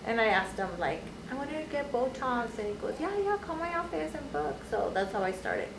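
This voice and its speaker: A woman in her forties chatting casually as a podcast guest, her voice a little high, set back from the mic in an echoey room. She tells stories loosely, full of "like"s, and acts out what others said. A faint hiss sits under the recording. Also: sing-song, a little fast, vocal fry, far from the mic. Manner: storytelling, acting out quoted voices, many likes. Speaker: guest nurse injector.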